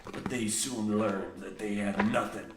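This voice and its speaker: Man acting a slow, crazed old mine foreman. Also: lowish voice, steady tone, close to an everyday voice. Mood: boastful. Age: older. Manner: theatrical, archaic pirate-like rustic speech, preachy. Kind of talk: parody of old radio horror acting. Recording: roomy echo, faint noise.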